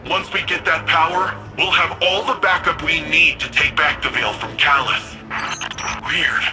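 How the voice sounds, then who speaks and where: A man's voice: fairly high pitch, fairly even intonation, a little slow, music in the background, low-quality recording. A man playing a brash, upbeat young guardian of a futuristic city over radio comms in a video game, talking in breezy slang. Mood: confident.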